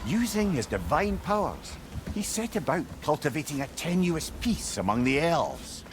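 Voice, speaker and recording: A wry, higher-voiced Scottish storyteller. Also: male voice, sing-song, normal speed, background noise. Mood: storytelling. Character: wry, learned older male storyteller companion. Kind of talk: video game dramatic dialogue.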